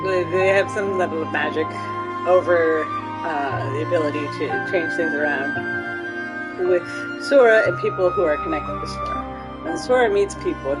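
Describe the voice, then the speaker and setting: A woman's voice: on the low side, rough recording. A woman streaming a game, reading aloud and commenting casually, thinking aloud and checking herself with questions. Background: game music.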